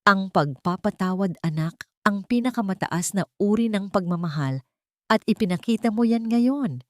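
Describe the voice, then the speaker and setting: A woman's voice: medium pitch, lively intonation, moderate pace, no room sound, studio quality, natural voice. A woman voicing a loving elderly mother in a story, tender and earnest, with a Filipino accent. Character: loving, gentle elderly mother giving her blessing.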